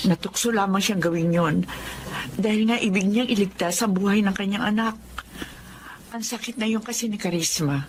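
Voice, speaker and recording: A woman's voice: medium pitch, noisy background, natural voice. A woman acting a caring, earnest middle-aged wife in a Filipino radio drama, with a Filipino accent. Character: caring, earnest childless wife adopting a girl.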